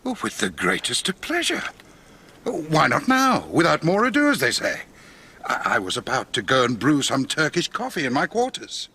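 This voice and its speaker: An actor playing a bluff, jovial middle-aged doctor, hearty and clipped with old-fashioned chumminess, in a radio drama. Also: male voice, fairly high pitch, huge pitch swings, normal speed, vocal fry, bright, forceful tone, husky, exaggerated voice. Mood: hearty, bashful.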